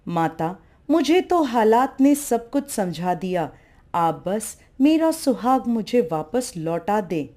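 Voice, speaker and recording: A woman's voice: on the high side, big pitch swings, slow pace, dark tone. A woman voicing a devout, grieving young wife in a folk tale, pleading and insistent.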